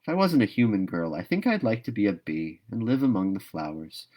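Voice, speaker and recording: A man's voice: on the low side, big pitch swings, fairly quick, a little mellow. Man reading as a gushing, dreamy young orphan girl. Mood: excited, pleading. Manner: gushing, wistful, dramatic. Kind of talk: storybook read-aloud.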